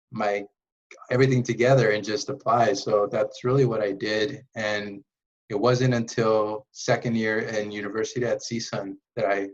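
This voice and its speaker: Low-voiced young man, muffled sound. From Los Angeles, USA. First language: Spanish. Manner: relaxed, laughs lightly, restarts words. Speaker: interview guest, engineer. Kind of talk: casual conversation.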